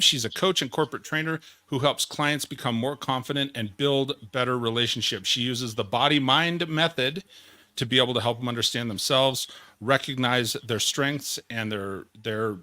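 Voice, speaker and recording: An upbeat man hosts a leadership interview with bright, forceful energy. His voice is close and dry, with no room sound, and he talks eagerly, often doubling words. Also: mid-range voice, moderate pace, no echo. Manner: enthusiastic, energetic, repeats words. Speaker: podcast host.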